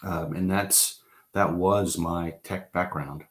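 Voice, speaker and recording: A middle-aged man from the East Coast of the United States speaks on a video call in a very low, deep voice. He tells his career story unhurriedly, stopping and restarting often and filling pauses with ums and uhs. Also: fairly even intonation. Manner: unhurried, halting, many fillers and restarts. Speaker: interview guest. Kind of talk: online interview, telling his career story.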